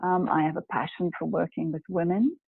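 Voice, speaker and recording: A woman in her forties from New Zealand talks about her life as a guest in a live video chat. She speaks in a measured way, pausing often to find the right words. Her voice comes through thin and phone-like, with a dry, close sound. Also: fairly low pitch, steady tone, creaky, breathy edge, dry recording. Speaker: guest, executive coach.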